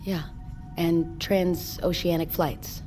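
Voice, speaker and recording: An actress playing a blunt, outspoken ship's crew member in an audio drama. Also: female voice, lowish voice, flat delivery, slow pace, music in the background. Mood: subdued, probing. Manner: blunt, confrontational. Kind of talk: naturalistic audio drama acting.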